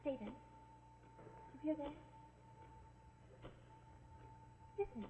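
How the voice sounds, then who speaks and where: An actress playing a frightened, suspicious young wife with an anxious, urgent manner, in 1940s television suspense style. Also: female voice, sits high, lively intonation, slow pace, mellow, roomy sound, slight background noise. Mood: scared. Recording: tinny 1940s television soundtrack with music.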